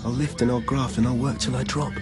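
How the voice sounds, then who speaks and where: A man's voice: mid-range voice, fairly even intonation, quick pace, lightly acted. An actor playing a weary, dogged labourer who sleeps in his van, in a rhythmic, confessional verse monologue for radio drama. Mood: weary, determined. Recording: music behind the voice.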